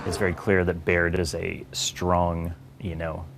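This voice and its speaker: A man's voice: low voice, little pitch movement, measured pace. A man speaking as an audio director in a behind-the-scenes interview. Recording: clear background ambient noise.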